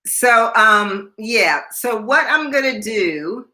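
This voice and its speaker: Chatty woman in her sixties, bright voice. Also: a little high, expressive, slow. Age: 60s. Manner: chatty, reads viewer comments aloud, repeats herself. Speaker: livestream host. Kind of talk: casual live-stream chat.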